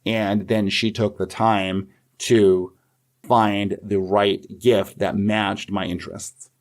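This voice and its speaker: A middle-aged man with a fairly low voice. He speaks as a co-host on a podcast, moving between conversation and reading aloud. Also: level tone, takes it slowly. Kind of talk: friendly podcast chat and poem reading.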